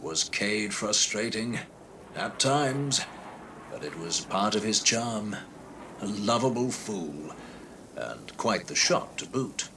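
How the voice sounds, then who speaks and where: A low-voiced reflective comrade. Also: male voice, very animated, slow, exaggerated voice. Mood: fond, wistful. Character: reflective comrade fondly remembering a fallen friend. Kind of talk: naturalistic video game dialogue. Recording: distant, noisy ambience.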